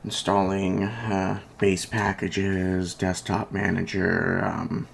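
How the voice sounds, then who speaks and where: A soft-spoken ASMR performer in a repair roleplay as a computer technician, murmuring haltingly while absorbed in the task. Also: low-pitched, level tone. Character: unhurried computer technician repairing a robotic client. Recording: loud fan noise, home recording. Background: PC fan, keyboard typing, clicks, creaking chair.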